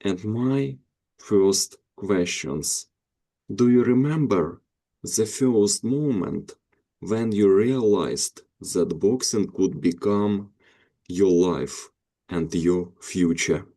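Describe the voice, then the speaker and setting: Deep, slow-reading male interviewer. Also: mellow. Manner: reads prepared questions slowly. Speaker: interviewer, channel host. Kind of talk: scripted interview questions.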